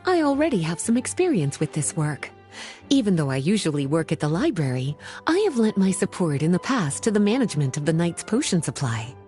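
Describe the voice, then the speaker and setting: A woman playing a playful, teasing scholarly librarian witch in a video game, polished and unhurried. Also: fairly low pitch, a little mellow. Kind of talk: video game voice acting, scripted dialogue.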